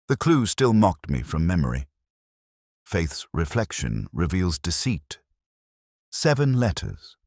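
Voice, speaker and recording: A man narrating a detective story in the first person as a brooding, cerebral investigator, in a measured, literary, melancholy manner. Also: rumbling low, a little mellow, dry recording, clean studio sound. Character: brooding, cerebral male police detective narrating his case. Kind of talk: first-person scripted narration, slow-burning mystery.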